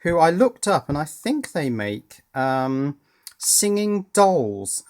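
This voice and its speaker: A man giving unscripted teardown commentary as a hobbyist presenter, thinking aloud with dry, understated humour. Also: mid-range voice.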